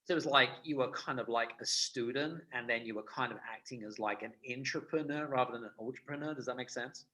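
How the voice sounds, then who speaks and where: A man's voice: medium pitch, pushed and bright, rough recording. A man hosting a business podcast, upbeat and encouraging, asking questions. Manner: upbeat, quick affirmations. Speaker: podcast host. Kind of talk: casual interview.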